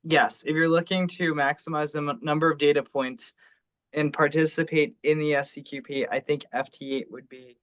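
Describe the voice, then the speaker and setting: A man with a fairly high-pitched voice speaks in an informal online meeting. He sounds like an organizer and teacher, talking in an explanatory, conversational way. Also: little pitch movement, moderate pace, a bit bright. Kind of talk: casual online meeting talk. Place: remote teleconference call.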